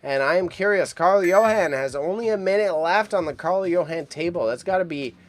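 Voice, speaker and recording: A man hosting a casual auction livestream, chatty and excitable as he rambles to his chat, with a mild impediment on sh and ch sounds. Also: high voice, normal speed. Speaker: livestream host reacting to an online auction. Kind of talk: casual live stream commentary.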